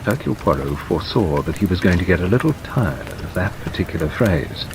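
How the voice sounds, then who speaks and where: A man's voice: sits low, brisk. A man reading scripted, dramatic audiobook narration. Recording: steady rain noise over narration.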